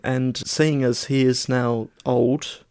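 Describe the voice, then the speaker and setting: An Australian man chatting casually as a podcast co-host, with a dry, deadpan manner. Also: medium pitch, takes it slowly, gravelly, smooth, no room sound. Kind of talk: casual chat.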